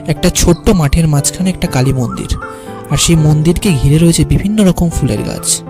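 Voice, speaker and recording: A man playing a carefree, stubborn young city poet who tells his own tale in an animated horror story. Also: on the high side, brisk, music in the background, lightly acted. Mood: calm storytelling. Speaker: narrator and lead character. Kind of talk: first-person story narration and cartoon dialogue.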